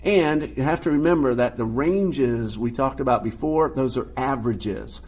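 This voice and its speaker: Husky deep-voiced male science teacher. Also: moderate pace, darkish tone. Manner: patient, explains definitions step by step. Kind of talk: recorded classroom lecture.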